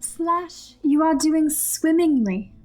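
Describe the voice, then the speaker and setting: Prim female adventurer, high voice, formal and composed, radio drama. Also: lively intonation, slow, faint music behind. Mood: encouraging. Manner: formal, precise diction. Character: prim, composed, quietly ruthless adventurer woman.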